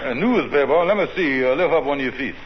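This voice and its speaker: A man's voice: mid-range voice, animated, fast talker, natural voice. A man acting in a 1940s radio comedy, playing a scheming business manager who wheedles in slangy, folksy speech. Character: scheming, fast-talking male business manager. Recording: muffled old radio broadcast with hiss.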